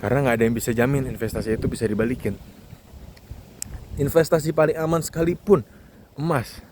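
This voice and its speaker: A man's voice: mid-range voice, fast. A man gives casual, emphatic advice as a vlog host, repeating key words for stress. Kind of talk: casual monologue giving advice to viewers. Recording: clearly noisy recording with ambient background.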